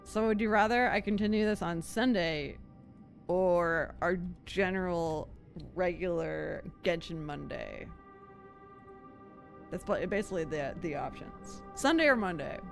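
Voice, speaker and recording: A woman's voice: on the low side, measured pace, vocal fry. A woman streaming a video game, chatting casually and thinking aloud in a giggly, talkative way. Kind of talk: casual live stream chat. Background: game music.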